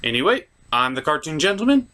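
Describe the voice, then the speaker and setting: Lively, higher-voiced man reviewing cartoons. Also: quick pace. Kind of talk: scripted comedic review narration.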